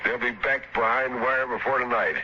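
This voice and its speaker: An actor playing a gruff, commanding and dismissive military officer with brusque slangy talk in a 1950s radio drama. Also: male voice, mid-range voice, steady tone, normal speed, natural voice. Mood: casual, dismissive. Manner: brusque, barking orders, clipped casual slang. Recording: noisy, dull old radio recording.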